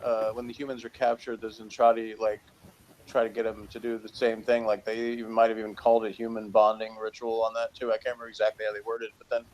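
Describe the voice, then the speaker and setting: A man's voice: lowish voice, monotone, quick pace, a little mellow, low-quality recording. A man co-hosting a review show in casual chat, with a flippant, joking way of talking.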